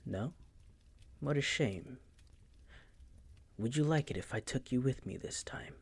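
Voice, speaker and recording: A man playing a possessive, suave vampire master in an intimate audio roleplay, hushed and breathy, with a silky, teasing, condescending manner. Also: mid-range voice, unhurried. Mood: wistful, teasing.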